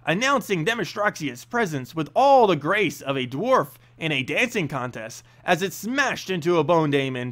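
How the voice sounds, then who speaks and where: A man's voice: sits high, fairly bright tone. A man reading a fantasy story aloud as a narrator, with dramatic delivery and occasional hesitant stumbles. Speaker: reader of fantasy stories, channel host.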